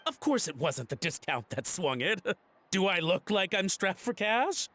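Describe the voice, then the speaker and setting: An actor playing a nervous, guilty antique collector in a video game, melodramatic and easily flustered. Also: male voice, high-pitched, sing-song, unhurried, sharp tone, full character voice. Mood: self-satisfied. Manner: flustered stammering and melodramatic pleading. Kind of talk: voice-acted game dialogue.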